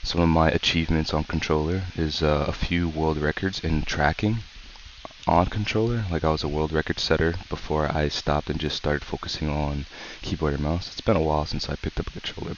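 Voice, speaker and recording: A man hosting a gaming channel, sick with a sore throat and talking calmly and softly as he coaches through a tutorial. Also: a bass voice. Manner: calm, slow, soft-spoken coaching. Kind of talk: casual tutorial commentary. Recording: dull lo-fi mic with faint hiss.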